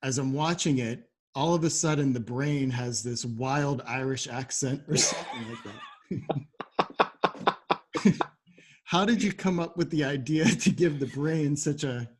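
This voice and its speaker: A man's voice: mid-range voice, measured pace, a little mellow. A man hosting a podcast interview, asking questions in a conversational way.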